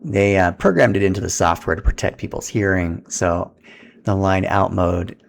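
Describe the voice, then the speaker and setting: A man's voice: deep voice, moderate pace, vocal fry, slight background noise. A man giving a casual, step-by-step setup tutorial in an informal, instructional way as he handles equipment.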